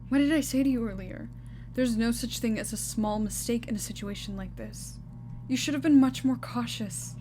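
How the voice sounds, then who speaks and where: A woman's voice: on the high side, moderate pace, warm tone, slight background noise, lightly acted. A woman playing a stern, exacting senior agent in an audio drama, blunt and reproachful. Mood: angry, accusing.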